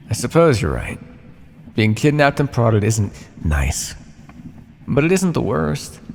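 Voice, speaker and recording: Man playing a smug, echoing, creaky-voiced vampire captor. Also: mid-range voice, slight background noise. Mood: condescending, coaxing. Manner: smug, teasing, dramatic with sighs and drawn-out words. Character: condescending, conflicted male vampire captor and scientist. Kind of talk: ASMR roleplay, theatrical monologue to the listener.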